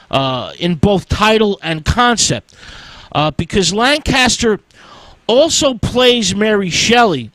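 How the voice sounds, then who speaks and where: A man talks through film history on a radio show, pausing often on "uh" as he gathers his thoughts. His voice sits high for a man and swings widely in pitch. He speaks at an unhurried pace, close to a dry studio microphone. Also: a bit bright, no echo, low-quality recording. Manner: frequent "uh" fillers, halting delivery. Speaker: radio host.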